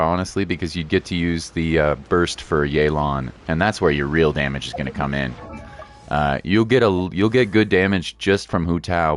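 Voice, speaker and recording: A man streaming a game and chatting casually with viewers, slangy and reassuring, repeating his points for emphasis. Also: rumbling low. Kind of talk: casual livestream chat with viewers.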